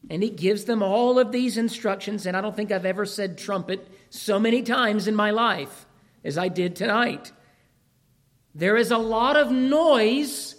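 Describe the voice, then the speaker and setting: A male preacher with a fairly high voice delivers a sermon, taking his time over each phrase. His voice is wearing out, and he keeps posing rhetorical questions to the congregation. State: losing his voice.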